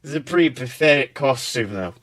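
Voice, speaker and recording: A man playing a drunk fan in his twenties with slurred, drawn-out speech. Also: mid-range voice, lively intonation, fairly bright tone, natural voice. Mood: drunk, fawning. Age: 20s. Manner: drawn-out, stoned-sounding delivery.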